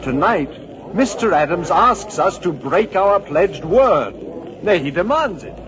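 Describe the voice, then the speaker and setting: Stern, swooping colonial orator. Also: male voice, sits high, sing-song, takes it slowly, heavily acted. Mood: grave indignation. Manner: oratorical, emphatic, formal. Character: stern, alarmed colonial congressman opposing independence. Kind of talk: vintage radio drama acting. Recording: dull old radio recording, crowd noise.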